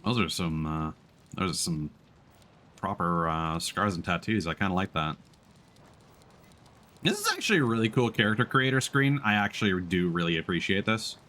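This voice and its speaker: A man chatting casually as he live-streams a game, musing aloud in an unhurried way. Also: deep voice, sing-song, bright, forceful tone.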